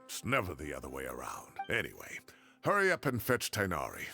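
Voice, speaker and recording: Blustering old male professor, hugely animated pitch, gravelly, game dialogue, music behind. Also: a little fast, full character voice. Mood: jovial, bossy. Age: older. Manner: theatrical, exclamatory. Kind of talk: video game voice acting. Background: background music.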